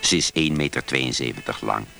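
An actor playing the narrator of a bawdy radio comedy, reading scripted description deliberately and with relish. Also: male voice, extremely low pitch, vocal fry, pushed and bright, faint music behind, exaggerated voice. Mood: suggestive. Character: suggestive, deadpan male narrator of a bawdy comedy.

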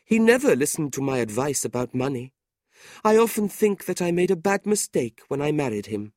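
A man's voice: sing-song, a little fast, a little mellow, no echo, studio quality. A man voicing a sorrowful, complaining middle-aged widow, a genteel mother, in a plaintive storybook reading. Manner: plaintive, lifted high above narrator's voice.

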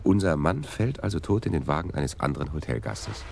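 An actor playing a keen, insistent homicide sergeant in a radio crime drama. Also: male voice, very deep voice, sing-song, brisk, vocal fry, darkish tone, slight background noise, heavily acted. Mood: keen, probing. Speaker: police detective. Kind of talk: 1980s radio drama acting.

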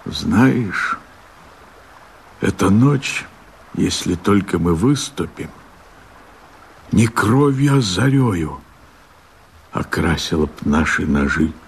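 An actor playing an earthy, wild-hearted peasant rebel from the steppe in a radio verse drama, speaking in slow, weighty, poetic declamation with a husky voice. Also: male voice, low voice, lively intonation, full character voice. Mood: sly, eager.

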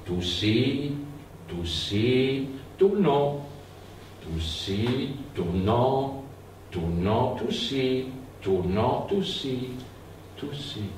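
A man's voice: medium pitch, lively intonation, drawn-out words, pauses often, a little mellow. A man acting a condemned villager who talks to visions with childlike wonder in a stage tale. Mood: quiet, playful. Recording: roomy, distant mic, faint noise.

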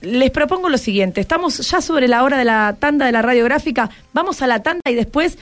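A woman's voice: high-pitched, expressive, a little fast. A woman with a Rioplatense Argentine accent, hosting a conversational interview and prompting with frequent check questions. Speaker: radio host interviewing schoolchildren.

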